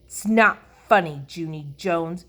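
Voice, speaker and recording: A woman's voice: fairly low pitch, slow pace. Woman acting a scolding, competitive little schoolgirl. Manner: scolding, emphatic. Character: jealous, competitive, self-righteous little schoolgirl.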